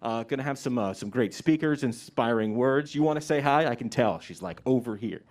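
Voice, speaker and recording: Energetic male event host, pitch that swings widely, chatty and playful with the graduates. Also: fairly quick. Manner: energetic, joking, repeats questions. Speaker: pre-ceremony host interviewing graduates. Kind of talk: upbeat live-stream interviews. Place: outdoors at a graduation ceremony.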